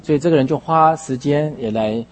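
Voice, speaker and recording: A man interpreting a sermon phrase by phrase, husky and somewhat halting, rephrasing as he goes. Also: a little high, rough recording.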